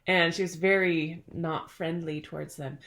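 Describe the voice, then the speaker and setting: A woman's voice: deep voice, steady tone, unhurried, fairly bright tone. A middle-aged woman hosting a casual chat, telling stories in a chatty, playful way and laughing often. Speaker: podcast host.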